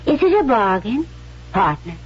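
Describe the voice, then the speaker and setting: Cool-headed, charming young schemer, husky and poised; muffled old radio drama recording. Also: female voice, fairly high pitch, big pitch swings, normal speed, exaggerated voice. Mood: shrewd. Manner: poised, probing, coolly teasing. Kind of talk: 1970s radio drama acting. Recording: dull vintage radio recording.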